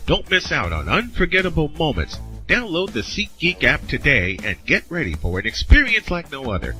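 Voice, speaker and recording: Upbeat host guy doing a scripted ad, animated voice, bit of music behind. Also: on the high side, moderate pace. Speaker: sportscast host reading a sponsor ad.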